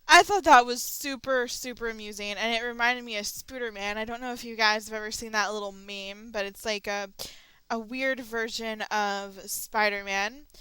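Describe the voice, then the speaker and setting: A woman's voice: on the high side, bright, forceful tone, smooth, no echo, low-quality recording. A young woman hosting a casual audio log, chatty and rambling with frequent ums and restarts. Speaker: channel host.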